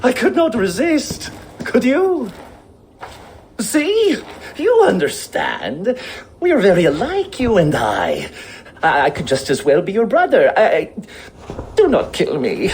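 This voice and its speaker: An actor playing a sly, cowardly Norse thief, wheedling in a theatrical manner. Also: male voice, sits high, wildly swooping pitch, a little mellow, a little hiss or hum, exaggerated voice. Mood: wheedling, pleading. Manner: theatrical, slow, swooping, stammering pleas.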